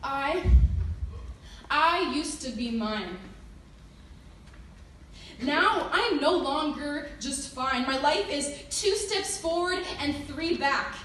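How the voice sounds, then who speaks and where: A woman reciting rhyming slam poetry with dramatic pauses and an emotionally intense delivery. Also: high voice, animated, bright, forceful tone, far from the mic. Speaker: slam poet. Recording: echoey room from afar, noisy.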